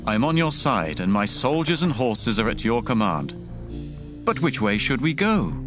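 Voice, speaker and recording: A man's voice: mid-range voice, sing-song, moderate pace, over music, natural voice. An actor playing a loyal, pious ancient king in a Bible audio drama, stately and resolute.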